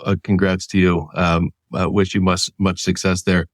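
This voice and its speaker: A man's voice: deep voice, flat delivery, normal speed, no echo, studio quality. A man hosting an interview, asking friendly follow-up questions. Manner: encouraging, asks follow-up questions. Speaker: host. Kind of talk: casual interview talk.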